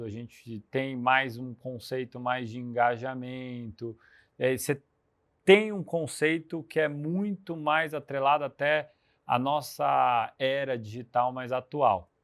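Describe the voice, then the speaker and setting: A man hosting a podcast interview, opening the episode and asking questions in an energetic, conversational way. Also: medium pitch, measured pace. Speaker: podcast host.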